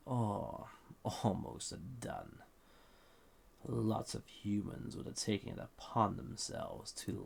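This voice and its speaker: A man acts out a banished villain in an audio roleplay, speaking in a low voice thick with gravelly fry. He takes his time, husky and seductive. He sounds dangerous and smugly superior to the humans he flirts with. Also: heavily acted. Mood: haughty, musing. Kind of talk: roleplay audio drama monologue.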